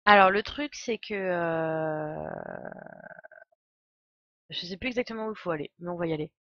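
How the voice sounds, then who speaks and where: A woman's voice: lowish voice, moderate pace, dry recording. A woman giving casual, joking, irreverent live commentary as a game streamer. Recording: dull, lo-fi microphone sound.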